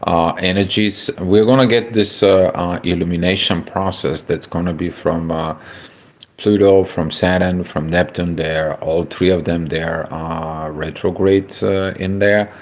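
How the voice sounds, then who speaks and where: A man with a Bulgarian accent talks through an astrology forecast in a deep, low voice. His delivery is halting and loose, full of filler sounds and restarts as he reads a chart and greets viewers in a casual livestream.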